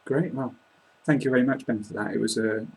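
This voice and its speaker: A man's voice: medium pitch, a little fast, creaky, darkish tone, breathy edge. A British man in his twenties hosting an informal interview, polite and explanatory, recorded on a laptop webcam in a small room. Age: 20s. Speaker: host, English teacher. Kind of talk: casual interview chat.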